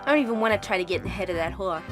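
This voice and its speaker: A woman's voice: mid-range voice, expressive, rapid-fire, close to an everyday voice. A woman playing a fiery, bitter daughter in her late teens in a noir radio drama, sassy and combative. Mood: bitter, angry. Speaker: student voice actor. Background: music.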